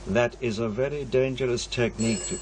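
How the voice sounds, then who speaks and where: A man playing a shrewd, proud old professor who investigates ghosts in an audio drama, speaking in a measured, pedantic, testy way. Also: medium pitch, fairly even intonation, a little mellow, close to an everyday voice. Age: older. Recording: faint background noise.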